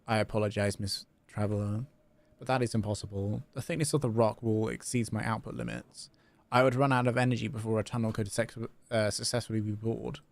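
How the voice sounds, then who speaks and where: A man's voice: lowish voice, fairly even intonation, fairly quick, gravelly. A man voicing a polite, literal-minded helper machine in a game, speaking in formal technical terms. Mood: apologetic.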